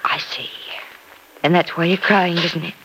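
Gentle confidante, soothing, reassuring, mid-pitched voice, faint hiss behind, old radio soap. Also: female voice, steady tone, measured pace, poor audio. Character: gentle, comforting woman confidante. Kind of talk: 1950s radio soap acting.

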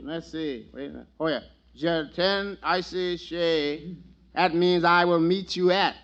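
Slow, bright-voiced comic sidekick. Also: male voice, fairly high pitch, dull audio. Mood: helpful, puzzling. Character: unhurried, deadpan male sidekick needling his friend. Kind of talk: 1940s radio comedy acting. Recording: hissy old radio recording.

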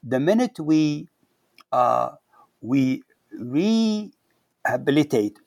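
A man speaking as an interviewed scholar, making emphatic academic points in a halting, searching way with repeated words. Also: on the high side, sing-song, creaky, darkish tone, dry recording. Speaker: scholar and author being interviewed.

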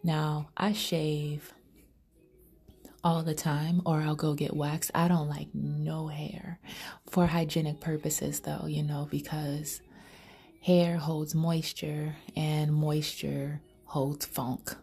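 A woman's voice: deep voice, level tone, slow pace, warm tone, breathy edge. A woman hosting her own podcast, improvising erotic storytelling in a sultry, half-whispered, intimate way. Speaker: podcast host.

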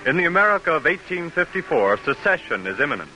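A man's voice: a little high, big pitch swings, quick pace, sharp tone, slight background noise, rough recording. A man narrating a historical radio drama in a scripted, dramatic vintage style. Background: music.

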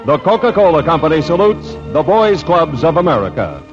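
Radio announcer guy, smooth and formal, muffled old broadcast sound with music going. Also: fairly high pitch, big pitch swings, brisk.

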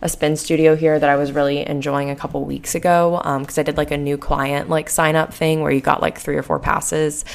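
A young woman in her twenties hosting a casual solo podcast, hoarse and sleepy after a late night, rambling with lots of "like" and "um". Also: sits low, flat delivery, brisk, few pauses. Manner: rambling, heavy use of "like" and "um". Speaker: podcast host.

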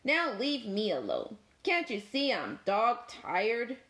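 A woman's voice: on the high side, huge pitch swings, slow, a bit bright, exaggerated voice. A woman voicing a grumpy, tired dog in a picture book, mumbling and sarcastic.

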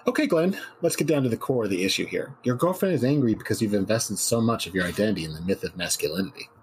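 A man's voice: on the low side, expressive, fast talker, slightly rough, full character voice. A man playing a bleakly nihilistic talk-show psychologist in a dramatic reading, lecturing a caller in a blunt, condescending manner.

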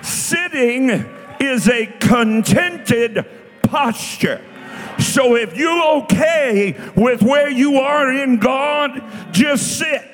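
A high-pitched American man in his sixties preaches in a big reverberant hall over loud music. He shouts and exhorts in fiery Pentecostal revival style, hammering repeated phrases. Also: extremely slow. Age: 60s.

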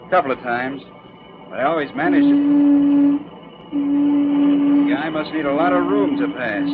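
An actor playing a confident, combative military test pilot captain in 1940s radio drama, breezy and plain-spoken. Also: male voice, a little high. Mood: irritated, defiant. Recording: dull vintage radio recording, vehicle noise.

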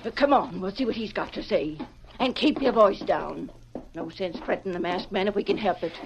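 A woman acts out a feisty ranch woman of the Old West in a radio western. Her voice is fairly high, with a rustic Western American accent and a blunt, scolding, folksy manner. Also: normal speed, muffled recording, a little hiss or hum, close to an everyday voice. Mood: hushed concern.

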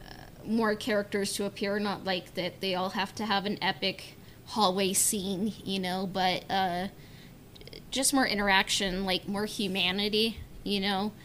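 A woman's voice: medium pitch, flat delivery, unhurried, fairly bright tone. A woman chatting casually on a fan discussion podcast, informal and hedging, with illustrative asides. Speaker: podcast panelist. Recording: clear background noise.

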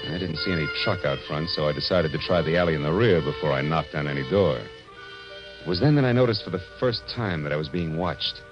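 A man's voice: sits low, natural voice. An American man playing a hard-boiled, sardonic private detective in a 1940s radio noir drama, with terse, wisecracking delivery. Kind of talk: 1940s radio noir acting and first-person narration. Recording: muffled vintage radio recording with music.

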